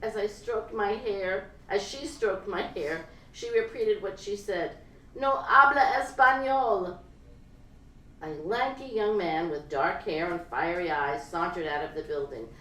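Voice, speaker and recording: Female fiction reader, slightly low voice, expressive literary delivery, recorded at a distance at a live event. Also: unhurried, hardly any pauses. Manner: dramatic, acting out dialogue. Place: live reading event, in a room before an audience. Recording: roomy live hall recording, distant mic, noisy.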